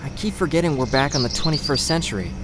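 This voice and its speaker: An actor playing a thoughtful, cautious leader of an adventuring party in a fantasy audio drama. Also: male voice, on the high side, expressive, fast talker, noisy background, close to an everyday voice. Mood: measured, cautioning. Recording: outdoor ambience with birds, crickets. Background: birdsong and crickets.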